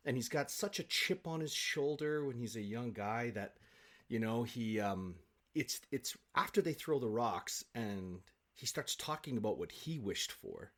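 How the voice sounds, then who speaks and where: A man speaking as an interview guest in casual, enthusiastic conversation, telling stories in an animated way. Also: medium pitch, a little slow.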